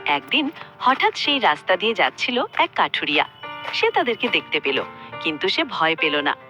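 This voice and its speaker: A woman narrating a cartoon story in a lively, sing-song way. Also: fairly low pitch, moderate pace. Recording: voice-over with music.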